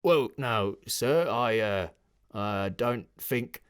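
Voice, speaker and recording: A man's voice: mid-range voice, animated, extremely slow, clear, resonant tone, no echo, clean studio sound. A man voicing an old, slovenly male army sergeant in an audiobook, wheezy, nervous and servile, with a stammering, hesitant delivery. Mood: fearful, hesitant. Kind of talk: dramatic audiobook character voice.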